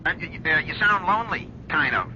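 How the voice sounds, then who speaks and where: An actor playing a shy, tentative suitor with a halting manner, heard through a telephone in a radio drama. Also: male voice, fairly high pitch, animated. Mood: tentative, concerned. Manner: halting, hesitant. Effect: telephone filter. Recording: quiet tinny phone line, noisy broadcast.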